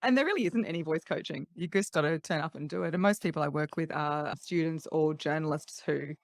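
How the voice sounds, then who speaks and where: A woman's voice: sits low, fairly quick, creaky, no echo, clean studio sound. A middle-aged woman with an Australian accent, a voice coach speaking articulately and laughing lightly between points in a podcast interview. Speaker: voice coach guest. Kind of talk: casual interview chat.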